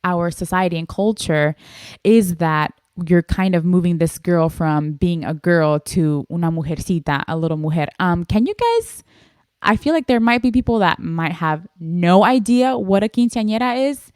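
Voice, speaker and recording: A young woman with a Panamanian family background co-hosting a casual podcast chat, animated and leading the discussion. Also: fairly low pitch, normal speed, smooth, no room sound, clean studio sound. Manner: animated, leads the discussion, switches into Spanish for quotes. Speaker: podcast co-host.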